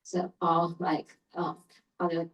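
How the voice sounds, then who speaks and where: A woman in an audience asking a casual question in a hesitant, halting way. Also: on the low side, completely flat delivery, highs cut off.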